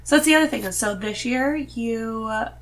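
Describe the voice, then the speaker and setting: A woman's voice: on the high side, moderate pace, fairly bright tone. A woman talking as a podcast guest in a casual, conversational way. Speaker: podcast guest, host's wife.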